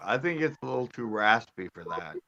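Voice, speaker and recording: A man's voice: fairly low pitch, very animated, a little fast, gravelly, sharp tone, muffled recording. A man on a live stream who makes short, dry, teasing remarks. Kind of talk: casual live stream chat.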